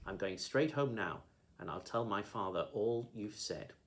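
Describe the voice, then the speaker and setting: A man's voice: on the low side, animated, moderate pace. A man reading a storybook, voicing a fierce, stubborn young girl who turns pleading. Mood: defiant. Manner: defiant, pleading. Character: fierce stubborn tomboy girl, defiant then desperate.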